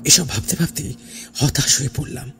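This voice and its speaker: A man narrating a first-person horror story in a theatrical, suspenseful way, with dramatic pauses and hushed phrase endings. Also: fairly high pitch, creaky. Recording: voice over background music. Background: music.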